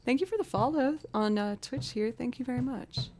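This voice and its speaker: A woman with a low alto voice, livestreaming as a musician and chatting casually while she builds loops, often thinking out loud. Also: normal speed, music in the background. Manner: chatty, talks aloud to herself.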